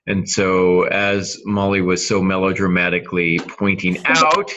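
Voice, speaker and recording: A man with a low voice hosts a casual podcast chat. His tone is bright and forceful, though the sound is dull and lo-fi. He feels his way through sentences with hesitations. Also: unhurried, slight background noise. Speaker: podcast host, novelist.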